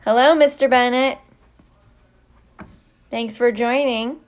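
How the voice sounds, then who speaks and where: A woman's voice: a little high, fairly even intonation, takes it slowly. A middle-aged woman, a teacher, chatting casually and playfully with young viewers on a livestream, drawing out her greetings. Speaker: teacher hosting a livestream for students.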